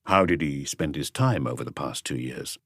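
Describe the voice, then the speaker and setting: A man voicing a calm police chief who asks short, probing questions in an audiobook mystery. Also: extremely low pitch, normal speed, no echo, clean studio sound, pitched below the performer's own voice, full character voice. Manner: terse, inquisitive.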